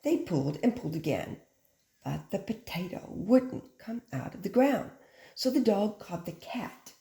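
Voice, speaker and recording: A woman reading a picture book aloud like a teacher, with simple, instructive phrasing and expressive stress on key words. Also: mid-range voice, a little slow, vocal fry. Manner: simple, instructive phrasing aimed at young children. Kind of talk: animated children's picture book read-aloud. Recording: echoey room.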